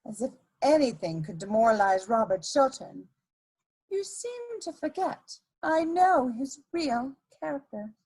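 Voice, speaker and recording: High-pitched, swooping scheming society adventuress, played by a woman. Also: very animated, darkish tone, husky, full character voice, low-quality recording. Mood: cool, menacing. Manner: theatrical, coquettish, drawn-out emphasis. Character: scheming, seductive society adventuress, worldly and brazen. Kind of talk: dramatic reading of a period comedy play.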